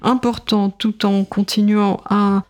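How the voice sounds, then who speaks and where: A woman in her sixties whose first language is Russian, a guest on a radio interview, explaining slowly with many hesitations and restarts. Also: mid-range voice, level tone, a little mellow. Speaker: guest, translator and literature professor.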